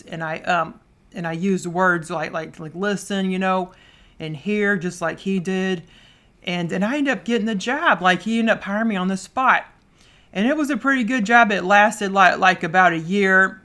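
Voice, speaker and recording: A woman hosting a solo podcast in a casual way, loud and fast, with frequent stumbles and repeated words. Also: fairly low pitch. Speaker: podcast host.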